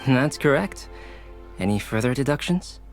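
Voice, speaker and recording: An actor playing a composed, scientifically curious young man in a game, with a measured, formal way of speaking. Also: mid-range voice, expressive, soft background music, lightly acted. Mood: calm. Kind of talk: game cutscene acting.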